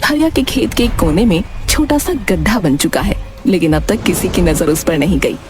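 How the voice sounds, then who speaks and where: A woman's voice: on the low side, lively intonation, moderate pace. Woman narrating a story over music. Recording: music behind the voice.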